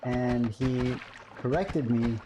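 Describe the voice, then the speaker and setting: A man's voice: medium pitch, takes it slowly, music in the background, low-quality recording. A man streaming a game live, chatting casually and musing aloud, often correcting himself. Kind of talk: casual live-stream chat while playing.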